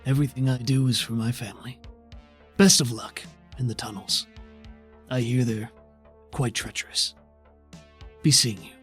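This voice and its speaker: A menacing executive in a tabletop game, put on by a man, coldly polite while his threats stay veiled. His pitch sits in an ordinary middle range, with faint music playing underneath. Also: steady tone, normal speed, long pauses, natural voice. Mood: threatening. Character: smooth menacing male corporate executive.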